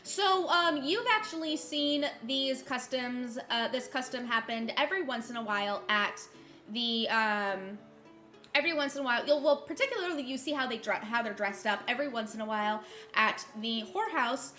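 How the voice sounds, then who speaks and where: A woman narrates a tabletop game as its game master, her voice high and bright. She talks loosely, pausing and restarting often, with faint music running under her. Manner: hesitant, lots of ums and restarts while describing.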